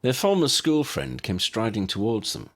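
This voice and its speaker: A man's voice: lowish voice, big pitch swings, normal speed. A man reading scripted story narration as an audiobook narrator.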